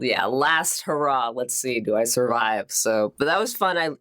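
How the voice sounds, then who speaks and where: A man chatting casually as a tabletop game player, halting and self-deprecating, with chuckles breaking into the talk. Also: mid-range voice, fairly bright tone, dry recording. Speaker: player on a tabletop actual-play show. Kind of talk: casual chat.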